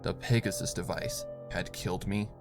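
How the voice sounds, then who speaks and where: A man reading dramatic scripted horror fiction as narrator, in a solemn, deliberate manner. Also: low-pitched, gravelly. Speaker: story narrator. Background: music.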